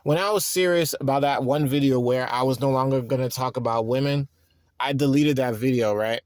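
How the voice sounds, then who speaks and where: A man's voice: mid-range voice, a little mellow, dry recording, studio quality. Weary thirty-year-old man rambling. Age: 30s. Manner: rambling, halting restarts. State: tired and worn out. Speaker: vlogger. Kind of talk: reflective personal monologue.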